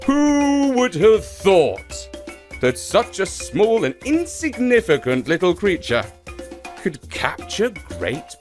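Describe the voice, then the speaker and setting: A man's voice: high voice, huge pitch swings, slow pace, faint music behind, voice pushed up, exaggerated voice. A man voicing a grand, amused, all-seeing male sky god in a children's folktale, with a theatrical manner. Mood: amused, impressed.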